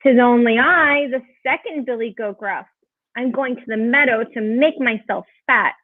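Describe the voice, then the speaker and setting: A woman's voice: on the high side, normal speed, no room sound, phone-quality sound, close to an everyday voice. A woman voicing a polite, pleading middle-sized male billy goat from a storybook.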